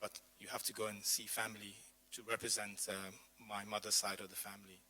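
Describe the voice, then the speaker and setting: A man's voice: fairly low pitch, level tone, brisk, sharp tone, poor audio. A male pastor giving a chatty sermon to his congregation, his voice strained after losing it, with asides to the people in the room. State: voice strained, says he lost his voice. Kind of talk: sermon with personal stories. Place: church community hall.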